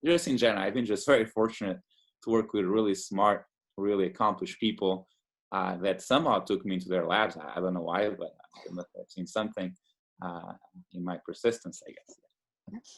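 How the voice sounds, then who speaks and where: A man's voice: low voice, fairly even intonation, normal speed. A man from Portugal, an immunologist in his thirties, talking enthusiastically in a casual interview with many fillers and restarts. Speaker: immunologist guest.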